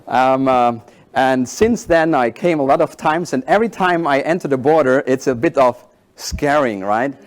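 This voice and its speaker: A man in his forties whose first language is German, giving a conference keynote in halting English with animated storytelling. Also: mid-range voice. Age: 40s. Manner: animated storytelling, halting non-native phrasing. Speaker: keynote speaker.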